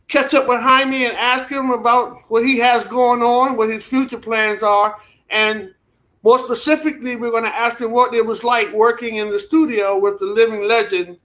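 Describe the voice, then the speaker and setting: Male talk show host, very high voice, bright and forceful, formal welcoming intros. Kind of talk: interview hosting.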